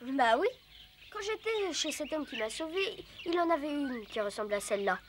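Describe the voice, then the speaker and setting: A woman voicing a polite, earnest, independent little jungle boy in an animated cartoon. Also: sits high, normal speed, slight background noise. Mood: matter-of-fact. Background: faint music.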